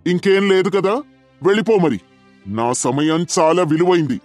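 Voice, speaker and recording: Man acting a loud, haughty, greedy village landlord. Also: high voice, animated, moderate pace, soft background music, voice pushed up. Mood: angry scorn. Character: greedy, arrogant rich male village landlord.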